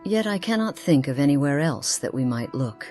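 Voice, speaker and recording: A woman playing a composed, formal noblewoman haunted by loss in a fantasy game, with deliberate, archaic phrasing. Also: deep voice, lively intonation, darkish tone. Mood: sorrowful, hesitant. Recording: faint music behind.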